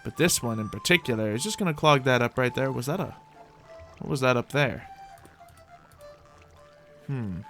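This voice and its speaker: A man commentating on his own video game play, thinking aloud in an animated way with playful exclamations. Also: medium pitch, moderate pace, pauses often. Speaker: gaming YouTuber playing a Minecraft roleplay series. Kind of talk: gameplay commentary. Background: background music.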